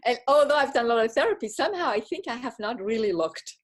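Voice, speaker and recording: A woman's voice: fairly high pitch, expressive, fast. Woman telling her story in English. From Bavaria, Germany. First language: Bavarian German. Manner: earnest, reflective, with frequent restarts and giggles. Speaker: retreat participant giving a testimonial. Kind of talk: casual personal storytelling.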